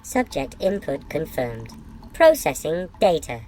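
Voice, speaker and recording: A woman's voice: deep voice, big pitch swings, measured pace, dark tone, slight background noise, low-quality recording. A woman playing an impassive computer in an audio drama, making clipped, emotionless procedural announcements. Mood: cold, procedural. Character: impassive female computer processing consent for experiments.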